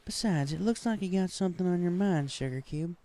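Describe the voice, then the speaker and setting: A man voicing an easygoing, folksy female farm pony with a reassuring, unhurried manner in a story reading. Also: sits high, dark tone, voice pushed up. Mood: curious. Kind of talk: fan fiction story reading.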